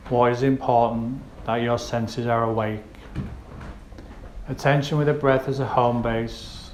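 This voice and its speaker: A man leads a guided meditation, giving gentle, unhurried instructions with pauses between phrases. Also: medium pitch. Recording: roomy echo with faint background noise.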